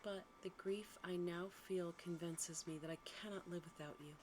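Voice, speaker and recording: Woman as young storybook heroine, tender, devoted, earnest, whispery, hushed. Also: lowish voice, steady tone, moderate pace, a little hiss or hum, lightly acted, rough recording. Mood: tender, pleading.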